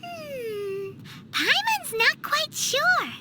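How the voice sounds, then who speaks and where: A woman voicing a tiny, childlike, excitable fairy companion in a video game, squeaky and exclamatory. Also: drawn-out words, noisy background, full character voice. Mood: chatty, explanatory. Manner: excitable, exclamatory, refers to self in third person. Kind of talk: video game character dialogue.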